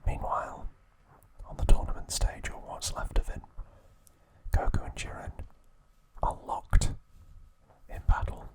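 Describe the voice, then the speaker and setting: A man narrating ASMR in a hushed, deliberate whisper, breaking his sentences into short phrases. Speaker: ASMR host.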